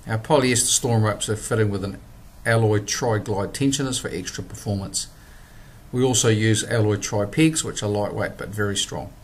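A man, presenting a product in a measured, scripted voice-over. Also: on the low side, slight background noise. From New Zealand. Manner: measured, plain instructional delivery.

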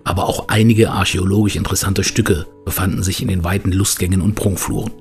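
A man acting as the storyteller of a boys' adventure audio play, reading scripted narration in a measured way. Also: low-pitched, fairly even intonation, faint music behind, lightly acted. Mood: measured storytelling.

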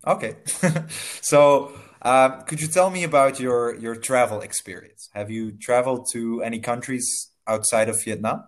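A young man speaks into a headset from a small room, guiding a student through an online English lesson. His voice sits at an ordinary middle pitch, and he talks slowly and kindly, laughing now and then. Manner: friendly, laughs often, slow careful phrasing. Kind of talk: casual video-call lesson.

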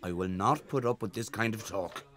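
A man's voice: lowish voice, moderate pace, a bit bright. A man playing a stressed, earnest middle-aged father in a children's audio drama. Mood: stern, exasperated. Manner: exasperated, earnest.

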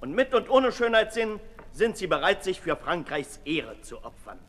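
A cynical aristocratic philosopher in an old radio drama. He declaims mockingly, his high voice very animated. Long reverb trails his words. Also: moderate pace, full character voice. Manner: sardonic, declamatory, swinging from hushed to loud. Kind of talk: 1960s German radio drama oratory.

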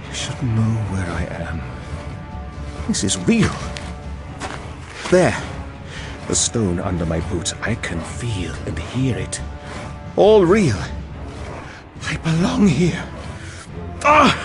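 A man's voice: fairly low pitch, huge pitch swings, slow pace, long pauses, over music, full character voice. An actor playing a confused, haunted male warrior in an audio drama, speaking in urgent, searching phrases. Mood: urgent, defiant.

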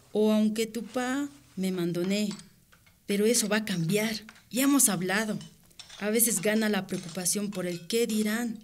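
An earnest mother played by a woman in a radio drama, consoling and frank, with a Bolivian accent. Her pitch is middling, and there is faint noise behind her. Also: darkish tone, lightly acted.

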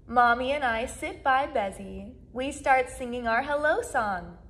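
A young woman reads a picture book aloud for small children. Her voice is high and swings widely in pitch. She goes slowly, drawing out words in a sing-song educator's style. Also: animated, a bit bright. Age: 20s. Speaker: children's music class educator. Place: small plain indoor room, seated.

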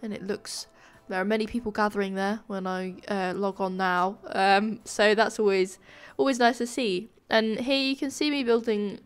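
A woman giving casual, informal commentary over a game building video, sounding nasal and a bit flat from a sore throat. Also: mid-range voice, normal speed, few pauses, clean tone. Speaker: gaming video creator, narrator.

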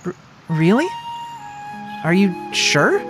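A voice actor plays an easygoing little cartoon creature, cheery and sincere. The mid-pitched voice is very animated and childlike, with music clearly underneath. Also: slow. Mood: bright, curious. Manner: earnest, casual, childlike. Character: cheerful, easygoing young round creature, earnest and caring. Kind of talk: casual comic dub voice acting.

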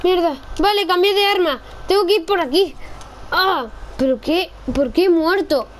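Young woman from gaming, high excitable voice, bit of background noise. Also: normal speed. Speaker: gamer. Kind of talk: casual gameplay role-play chat.

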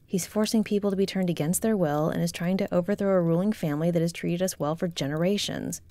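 Fantasy audio drama: proud noblewoman warrior acted by a woman, formal and guarded, mellow lower register. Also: fast, no echo, close to an everyday voice. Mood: grave. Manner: formal, haughty. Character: proud, guarded noblewoman military commander, conscience-stricken. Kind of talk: fantasy audio roleplay acting.

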